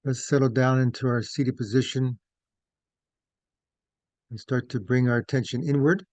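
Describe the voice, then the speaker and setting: A man leading a guided meditation as instructor, speaking in measured, gentle phrases with pauses. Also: medium pitch, fairly even intonation, dry recording.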